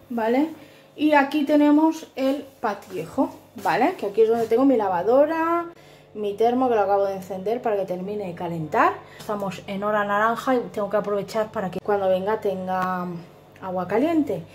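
A woman from Spain giving a casual, homely tour of her small flat, filming on a handheld phone, often apologizing and checking in with "okay?" as she goes. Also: medium pitch, normal speed, slight background noise. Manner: homely, apologetic, lots of fillers and "¿vale?". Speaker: vlogger giving a house tour. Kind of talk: casual chatty home tour. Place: small dim flat with tiled kitchen and bathroom, indoors. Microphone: handheld phone camera. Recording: echoey tiled rooms.

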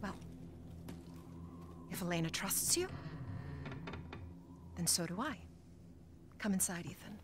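A woman's voice: medium pitch, animated, slow, long pauses, background noise. An actress playing a devout village matriarch who commands her household firmly. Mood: commanding. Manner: commanding, then shaken and stammering. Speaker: game character.

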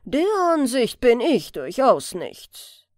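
Pompous male police superior, indignant and condescending, lively swooping delivery female reader acting, dry close sound. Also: on the high side, takes it slowly, dry recording. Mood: sneering. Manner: haughty, scolding, emphatic. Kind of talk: audiobook character voice.

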